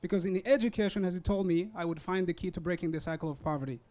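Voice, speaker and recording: A young man from Rwanda, a student, giving an earnest, measured public talk with some hesitations; English is not his first language. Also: high voice, a little mellow. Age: young adult. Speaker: student speaker. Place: university event tent. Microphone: hand-held or stand mic.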